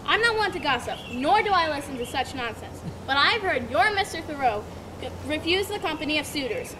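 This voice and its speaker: A woman's voice: high voice, lively intonation, a little fast. Girl playing a prim, haughty young lady. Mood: indignant. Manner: haughty, indignant. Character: prim young woman visitor, judgmental and proper. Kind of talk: homeschool dramatic reading. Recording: loud background noise.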